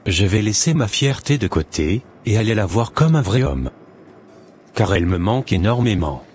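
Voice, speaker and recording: A man playing a remorseful, lonely husband in a scripted cartoon drama, speaking in an earnest, deliberate way. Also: fairly low pitch, big pitch swings, a little mellow, a little hiss or hum. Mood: regretful, yearning. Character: remorseful, lonely husband swallowing his pride. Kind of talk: scripted animated drama monologue and dialogue.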